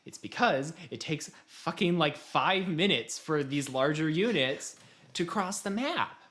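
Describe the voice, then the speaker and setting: A man's voice: a little high, lively intonation, measured pace, fairly bright tone. A man co-hosting a podcast, chatting casually about video games in a rambling, self-deprecating way with casual swearing. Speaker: podcast co-host.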